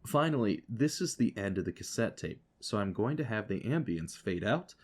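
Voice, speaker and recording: A man's voice: on the low side, big pitch swings, normal speed. A man giving an explanatory tutorial voice-over as an audio producer, instructive and speaking directly to the listener.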